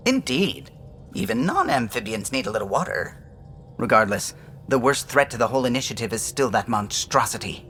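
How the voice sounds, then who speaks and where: Bright-voiced, earnest alien starship pilot. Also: male voice, medium pitch, very animated, slight background noise. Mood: reflective. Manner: formal, measured, articulate. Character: alien male starship pilot, proud, earnest amphibian idealist. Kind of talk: cinematic sci-fi game acting.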